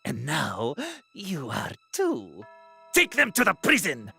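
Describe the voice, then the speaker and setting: A smug, menacing servant of an evil god in a game cutscene. The voice is deep and gravelly, slow, and swoops dramatically. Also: female voice, huge pitch swings, pushed and bright, soft background music, heavily acted. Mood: smug menace. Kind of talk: video game cutscene acting.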